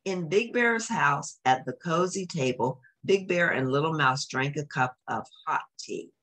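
A woman reads a children's picture book in a low voice with a lot of melodic movement. She takes her time and explains things simply for young listeners. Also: expressive, a little slow, a bit bright. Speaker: children's story reader.

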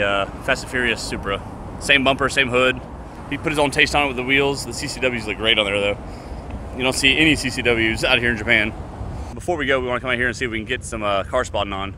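A man in his thirties hosting a casual travel vlog, excitable and quick to laugh. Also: mid-range voice, background noise. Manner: upbeat, excitable, laughs often. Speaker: vlog host. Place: restaurant and street, indoors and outdoors.

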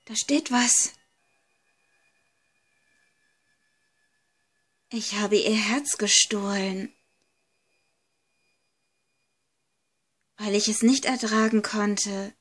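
A woman's voice: medium pitch, steady tone, slow pace, husky. A woman playing a frightened, hesitant girl exploring a haunted villa in an amateur horror audio drama. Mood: eerie reading. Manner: hesitant, anxious.

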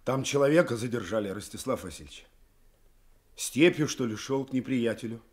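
An actor playing a plain-spoken Red soldier with a husky voice in a Soviet radio play. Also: male voice, mid-range voice, brisk, natural voice. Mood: businesslike.

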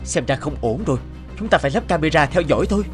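Earnest young dad voice actor guy, cartoon, swingy pitch, music behind. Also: expressive, fast, close to an everyday voice. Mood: concerned. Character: earnest young father, easily swayed. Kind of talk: cartoon voice acting.

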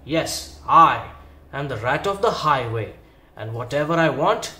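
A man voicing a greedy, bullying male rat highwayman in a children's storybook reading, loud and gloating. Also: expressive, a little slow, reverberant. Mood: bellowing.

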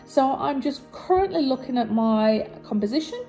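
A slightly husky woman narrates her own craft video in a casual, encouraging voice-over, sounding a little tired. Her voice sits fairly high but mellow. Soft music plays faintly underneath. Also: lively intonation, moderate pace, rough recording. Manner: chatty, warm and encouraging, with fillers and restarts. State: low energy after recent surgery. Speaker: resin artist and channel host.

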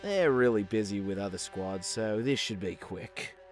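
A man's voice: lowish voice, normal speed, close to an everyday voice. A man voicing a chatty, slightly smug killer robot in a comic dub, with theatrical, scheming politeness. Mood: smug, explanatory. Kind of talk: fan comic dub acting. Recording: music behind the voice.

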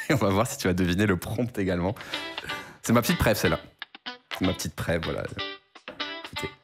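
A young man with a low, deep voice talks quickly into a studio boom mic. He explains things in a chatty way, with plenty of fillers, on a talk show set. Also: music in the background. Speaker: guest presenting AI-made songs. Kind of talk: casual studio chat. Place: studio set with city backdrop.

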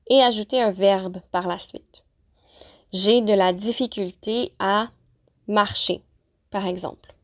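A woman teacher narrating a lesson, pronouncing example phrases slowly and carefully. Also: medium pitch. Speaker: French-as-a-second-language teacher. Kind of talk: clear, deliberate vocabulary lesson narration.

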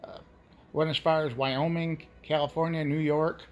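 A man's voice: on the high side, flat delivery, moderate pace, a little mellow. An American man giving informal documentary narration in a folksy, reminiscing way. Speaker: local history video narrator.

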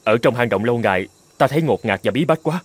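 A man's voice: mid-range voice, animated, fast talker, slightly rough, dry recording. A man voicing an earnest captive island chieftain in a cartoon fairy tale, protesting and pleading. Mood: pleading, subdued. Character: brave adult male island chieftain held captive.

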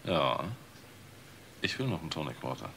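A man's voice: a bass voice, big pitch swings, normal speed, gravelly, a bit bright, slight background noise, heavily acted. A man playing a suspicious, needling crook in a crime radio drama. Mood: wary, needling.

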